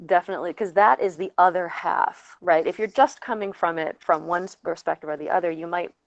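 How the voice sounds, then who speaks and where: Brief: female panelist with a lowish voice, explanatory and halting, close dry sound, slightly muffled. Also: vocal fry, dry recording. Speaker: audio producer on a panel. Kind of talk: casual panel talk.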